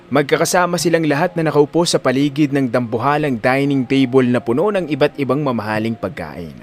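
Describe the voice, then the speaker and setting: A man's voice: mid-range voice, fairly quick, a little hiss or hum. A man with a Filipino accent reading scripted, dramatic story narration.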